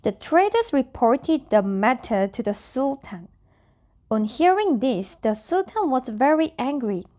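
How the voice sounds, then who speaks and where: A woman's voice: high voice, big pitch swings, measured pace, dark tone. A woman from South Korea, a native Korean speaker, reading stories aloud and chatting in simple, careful non-native English. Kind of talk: soft storybook reading and casual chat.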